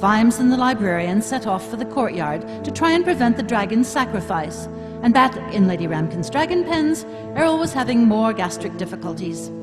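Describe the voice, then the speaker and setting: A woman delivers wry scripted narration for a comic radio play. The voice is fairly high, set in a reverberant hall. Music plays clearly behind it. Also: expressive, normal speed, natural voice. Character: wry female storyteller. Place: live stage in a hall.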